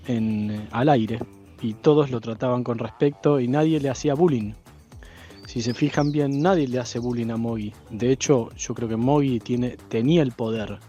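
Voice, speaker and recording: An Argentine man reflects in an interview with a mellow, dark-toned voice at middle pitch, faint music underneath. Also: dull audio.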